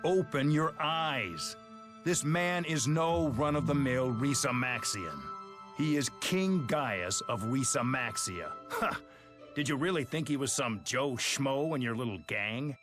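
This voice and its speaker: An actor playing a sardonic, mock-polite police detective in a video game, smug and theatrical. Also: male voice, mid-range voice, expressive, a little slow, a bit bright, soft background music. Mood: smug, taunting. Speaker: detective character. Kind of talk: English video game dub acting.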